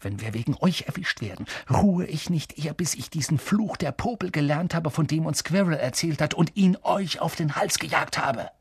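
A man's voice: mid-range voice, sing-song, fairly quick, few pauses, dry recording, lightly acted. A man voicing an irritable, blunt young schoolboy in a storybook reading, curt and grumbling. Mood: threatening.

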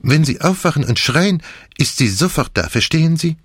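A man reading an audiobook, voicing a courteous, fatherly gentleman who speaks in a gentle, formal, florid way. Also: fairly high pitch, lively intonation, moderate pace, breathy edge, no room sound, clean studio sound, pitched above the performer's own voice. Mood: soothing, earnest. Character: courteous, fatherly foreign gentleman, earnest and theatrically humble. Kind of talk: audiobook dialogue.

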